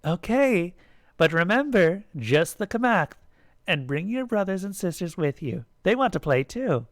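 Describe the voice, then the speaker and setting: A man's voice: sits high, big pitch swings, measured pace, dry recording, clean studio sound, pitched above the performer's own voice, heavily acted. A man voicing a girl in a children's pageant who plays a loving mother goddess, proclaiming grandly and sweetly in a story. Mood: sweet, doting.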